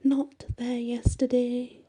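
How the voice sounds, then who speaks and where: A woman voicing a quirky, wide-eyed young woman, a conspiratorial best friend in a bedtime story, hushed and dramatic. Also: on the high side, level tone, takes it slowly, breathy edge, voice pushed up. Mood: hushed, spooked.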